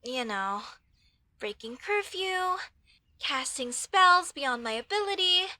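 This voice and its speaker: A woman plays a young female elf mage with a high voice and an unhurried pace. She is earnest and apologetic, speaking hesitantly and chattily to the listener as in a fantasy audio roleplay. The sound is dry and close. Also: lively intonation, bright, forceful tone, dry recording, full character voice. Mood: explaining, sheepish.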